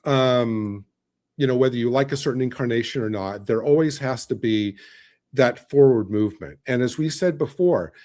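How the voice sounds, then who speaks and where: A man's voice: mid-range voice, normal speed, darkish tone. Emphatic man on a fan podcast. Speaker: podcast panellist. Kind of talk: casual fan discussion.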